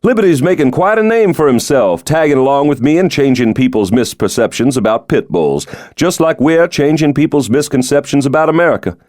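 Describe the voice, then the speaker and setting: A man's voice: mid-range voice, expressive, brisk, hardly any pauses, darkish tone, no echo, clean studio sound, voice pushed up, natural voice. A man voicing a breezy, folksy middle-aged American President who jokes heartily, in an audiobook. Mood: friendly, boastful. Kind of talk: audiobook character dialogue.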